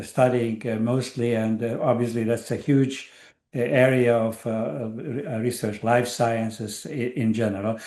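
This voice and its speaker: An older man from Hungary speaks at a middle pitch, lecturing over a video call. He hesitates with frequent fillers and restarts as he works through his points. The sound is roomy, with a clear echo from the space around him. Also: a little mellow. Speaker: guest lecturer. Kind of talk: academic online lecture.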